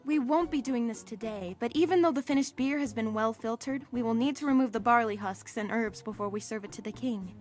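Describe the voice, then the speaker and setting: A woman's voice: a little high, a little fast, hardly any pauses, a little mellow, faint music behind, lightly acted. A woman playing a courteous palace attendant in a comic dub, giving patient, orderly instruction. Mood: courteous, informative.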